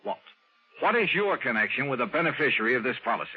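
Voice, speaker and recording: A persistent male investigator talks and narrates in a direct, conversational way at medium pitch. The sound is a tinny vintage radio drama with music around it. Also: big pitch swings, quick pace, breathy edge. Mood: insistent. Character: brisk, persistent male insurance investigator narrating his case. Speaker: detective protagonist and narrator. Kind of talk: 1950s radio detective drama acting, first-person narration. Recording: tinny 1950s radio recording.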